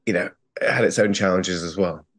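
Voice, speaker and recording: A man in his forties from England with an English accent, recalling his family in a thoughtful, measured way on a video call. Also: low-pitched, slightly rough. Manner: thoughtful, measured, with frequent restarts. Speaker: guest. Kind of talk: reflective interview talk. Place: plain-walled home room. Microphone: earbuds on a video call.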